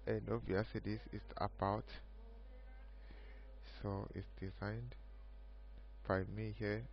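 A man giving an unscripted screen-recorded software demo of his own project, speaking haltingly in short broken phrases. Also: lowish voice, level tone. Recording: muffled lo-fi mic with background hum.

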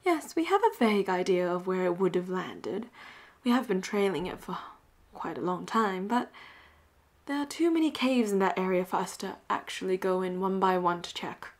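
A woman voicing a flirtatious, teasing, commanding pirate captain in an intimate audio roleplay, often dropping into breathy whispers. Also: mid-range voice, lively intonation, normal speed, natural voice. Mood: earnest.

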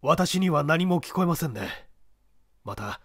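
A man voicing a composed, suave gentleman thief with formal, earnest manner in a storybook. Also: a little high, lively intonation, unhurried, no room sound, studio quality. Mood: calm.

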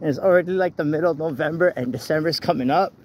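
A man rambling casually and haltingly into a handheld phone as he walks outdoors, often repeating his phrases. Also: a little high, a little mellow. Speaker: vlogger. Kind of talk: casual rambling walk-and-talk chat. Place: outdoors walking along a street at night. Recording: noisy outdoor phone, traffic ambience. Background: vehicles and street ambience.

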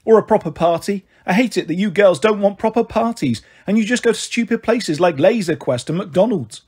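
Woman acting a husky, tearful British mother, higher-pitched. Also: expressive, a little fast, a little mellow. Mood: wheedling. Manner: gloomy, emotional, pleading. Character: tearful, self-pitying, flighty thirty-three-year-old mother.

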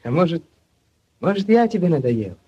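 An actor playing a lovestruck, impatient young Cossack with pleading, theatrical 1940s radio delivery. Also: male voice, on the high side, big pitch swings, moderate pace, a little mellow, slightly rough, highs cut off. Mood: ardent. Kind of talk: 1940s Soviet radio drama acting. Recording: 1940s radio recording with music behind.

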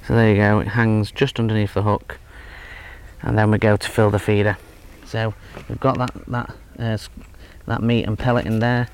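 A man in his thirties giving a relaxed, hesitant fishing tutorial outdoors by a lake, with lots of fillers and restarts. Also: on the low side, moderate pace. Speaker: angling instructor. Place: outdoors at a lakeside fishing spot. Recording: faint outdoor background noise. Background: faint outdoor ambience.

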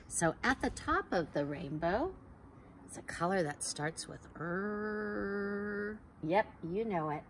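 A woman in her forties reads a children's picture book aloud on an outdoor patio. Her delivery is unhurried and very animated, rising and falling in a sing-song way as she sounds out letters and gasps for effect. A faint hum of outdoor air sits under her voice. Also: on the low side, low-quality recording. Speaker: storytime reader for children. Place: backyard patio outdoors by a wooden fence.

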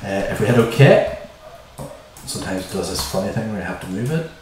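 A man in his forties talks through a tutorial in an informal, plain-spoken way. His voice is low-pitched. It is picked up a little way from the microphone in a small, very reverberant room. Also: expressive, fairly quick. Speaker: host of a how-to video. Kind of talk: casual tutorial explanation. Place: small bare-walled room indoors. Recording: echoey small room, distant mic, faint noise.

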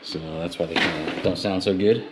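A man's voice: low-pitched, steady tone, brisk. A man giving an informal, off-the-cuff walkthrough as an installer hosting a job-site vlog, recorded indoors on a handheld camera. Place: large open living room with high ceiling, indoors. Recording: clearly noisy camera audio, clicks.

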